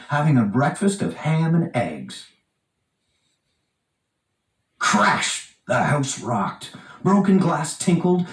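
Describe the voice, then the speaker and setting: A man reading a children's storybook aloud with expressive, dramatic emphasis. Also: mid-range voice. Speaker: storybook narrator. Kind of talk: animated read-aloud children's storybook narration. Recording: roomy, echoing, distant from mic.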